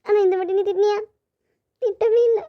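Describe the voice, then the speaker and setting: A woman's voice: extremely high pitch, steady tone, fairly quick. A woman playing a naive, lovelorn young daughter in a family melodrama, plaintive and girlish. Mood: puzzled, hurt. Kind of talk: melodramatic family audio drama acting. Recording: dull lo-fi audio.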